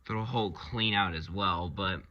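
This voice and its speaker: A man giving a casual, unscripted review of his hobby collection, talking haltingly and pausing mid-phrase. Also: deep voice, bright, forceful tone, highs cut off. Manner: halting, rambling delivery with pauses mid-phrase.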